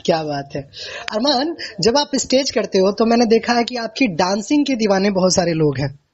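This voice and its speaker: A man hosting a conversational phone interview, effusive and repeating himself, with a husky, high voice for a man. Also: big pitch swings, moderate pace, a little mellow, a little hiss or hum. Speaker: interviewer, radio-style host. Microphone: recorded phone call. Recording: dull, lo-fi phone call recording.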